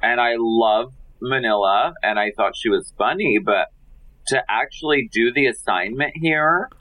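A man's voice: medium pitch, measured pace, pushed and bright, rough recording. A man co-hosting a casual podcast, playing up mock outrage between laughs. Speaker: podcast co-host. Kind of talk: casual recap chat.

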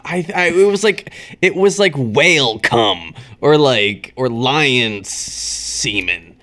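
A man's voice: mid-range voice, lively intonation, slow, bright, forceful tone. A man co-hosting a podcast in casual chat, rambling and hesitating as he searches for words. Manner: rambling, hesitant, lots of fillers. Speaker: podcast co-host.

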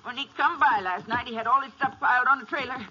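A woman's voice: high-pitched, fast talker, bright, forceful tone. An actress playing a folksy, rambling rural Texas farm woman with a Texan accent in an old-time radio western. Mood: chatty, matter-of-fact. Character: plain-spoken rural Texas farm woman wrangling a mule. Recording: noisy lo-fi old radio recording.

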